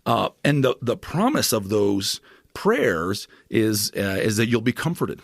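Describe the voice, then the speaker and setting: A man with a gravelly, creaky voice walks through his ideas as a podcast guest, talking with eager, lecturing energy. He keeps checking in with quick "right?" tags. The sound is close and dry, with no room around it. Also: on the low side, very animated, no room sound. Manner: enthusiastic, lecturing with many asides and "right?" tags. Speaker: podcast guest, scripture scholar. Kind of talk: explanatory podcast conversation.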